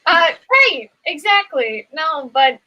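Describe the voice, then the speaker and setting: A woman's voice: sits high, very animated, slow pace, sharp tone, highs cut off. A woman from the American Midwest with an American accent, chatting casually in a friendly, slightly hesitant way. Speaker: guest in a group chat. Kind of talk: casual chat.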